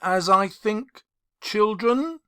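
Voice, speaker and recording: A solemn spirit guide from a storybook, acted out by a man. The ghost speaks slowly and calmly, with a knowing air. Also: sits high, clear, resonant tone, voice pushed up, exaggerated voice. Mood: solemn, gentle.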